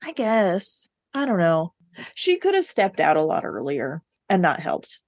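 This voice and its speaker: Lower-voiced woman in dry, close sound. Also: wildly swooping pitch, unhurried, darkish tone, dry recording. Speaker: podcast co-host. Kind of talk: casual book discussion.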